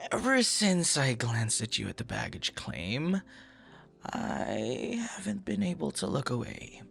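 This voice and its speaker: Male actor as smitten, awkward young guy for ASMR romance roleplay: slow, halting delivery, gravelly creak, shy and flustered. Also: on the high side, sharp tone, exaggerated voice. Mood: flustered, embarrassed. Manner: stammering, bashful, awkward, breathy laughs and sighs. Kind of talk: ASMR boyfriend roleplay acting.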